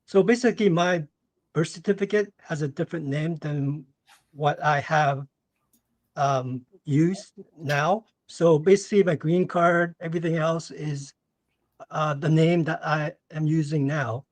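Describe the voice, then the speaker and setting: A man with a fairly high-pitched voice speaks as a caller to an advice show, in a dry, echo-free sound. His delivery is casual and halting, often circling back to reword what he means. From Canada, born in Singapore. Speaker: caller with a question. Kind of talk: casual question on a call-in show.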